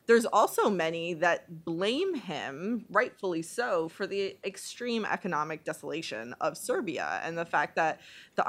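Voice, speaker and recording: A woman's voice: lowish voice, a bit bright. A woman from Bosnia and Herzegovina speaking informally as a panelist, thinking aloud hesitantly with some self-deprecating humour. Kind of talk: informal spoken panel commentary.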